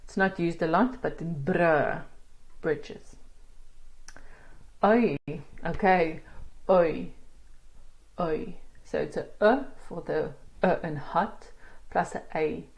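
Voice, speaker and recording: A woman with a South African accent, teaching pronunciation in a casual spoken lesson and sounding out words slowly. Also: lowish voice, a little mellow, rough recording. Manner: demonstrates sounds slowly, laughs at herself. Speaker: language teacher.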